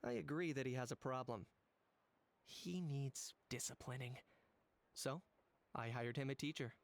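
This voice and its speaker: A man voicing a calm, businesslike young monster hunter in a video game, measured and matter-of-fact. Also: medium pitch, natural voice. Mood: cool, businesslike.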